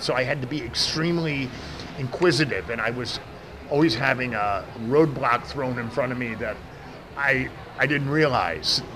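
Man, American accent, middle-aged, big voice, handheld mic, outdoor noise. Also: mid-range voice. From United States. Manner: says he has a big voice, restarts often. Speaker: interview guest, event professional. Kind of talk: casual interview answers. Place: outdoor event media wall. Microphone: handheld mic held by interviewer. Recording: outdoor traffic noise behind.

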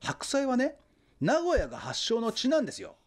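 Relentless civic fanatic for comic radio play; high, very animated, insistent and pompous. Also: male voice, moderate pace, full character voice. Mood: grandly boastful. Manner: insistent, lecturing, grandiose. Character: pushy, fanatical Nagoya booster, indignant salesman-like man. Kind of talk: comic radio drama dialogue.

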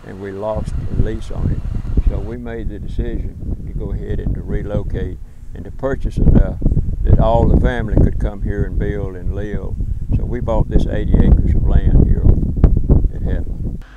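An older man, a syrup maker explaining his work on his farm in a plain, unhurried way. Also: on the low side, little pitch movement, narrow, tinny audio, very noisy. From southern United States. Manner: plain-spoken, unhurried farmer's talk. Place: farm, outdoors and in a cooking shed.

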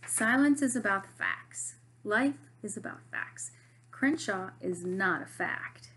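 An anxious, skeptical young boy speaks in a storybook read-aloud, voiced by a woman. His lines are earnest and plain, at a middle pitch. A faint hum sits under the voice. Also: expressive, slow, a bit bright, rough recording. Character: worried, skeptical schoolboy who wants facts.

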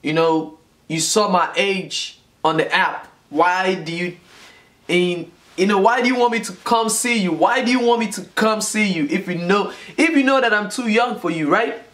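A man's voice: high voice, normal speed, a bit bright. A man in his twenties from Nigeria, telling a personal story to the camera in a casual vlog, repeating phrases for emphasis. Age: 20s. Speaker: vlogger. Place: small indoor room, seated at home. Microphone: camera in front, close framing.

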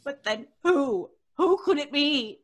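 An actress playing a terrified, pleading wife in an old-time radio drama, breathless and dramatic. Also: female voice, high voice, lively intonation, slow. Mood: fearful, bewildered. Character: terrified, pleading wife haunted by a dead witch.

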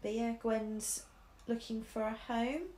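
A young English woman with a mixed Midlands accent talks slowly, full of ums and small restarts. She chats casually as she draws at home, and the room sounds echoey around her. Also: on the high side, fairly even intonation, dark tone, a little hiss or hum. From Shropshire, England. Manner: chatty, many ums and restarts, laughs easily. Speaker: artist streamer. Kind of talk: casual chat while drawing. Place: living room at home, indoors. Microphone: camera over the shoulder.

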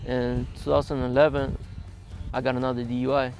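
A man in his twenties, interviewed outdoors in a desert yard, speaking plainly with many hesitant pauses. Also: medium pitch, flat delivery, highs cut off, over music. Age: 20s. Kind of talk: documentary interview, recounting his past.

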